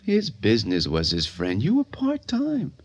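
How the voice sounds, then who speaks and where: An actor playing the blunt, taunting, impatient inner self of a grieving young man in a radio drama, with a husky voice. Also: medium pitch, wildly swooping pitch, measured pace, exaggerated voice. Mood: cold taunting. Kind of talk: old-time radio drama acting.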